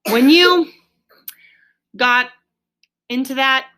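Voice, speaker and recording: A woman reading a storybook, voicing a stern, exasperated male water rat who scolds with emphatic, drawn-out key words. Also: high-pitched, pushed and bright, pitched above the performer's own voice, heavily acted, poor audio. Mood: explaining.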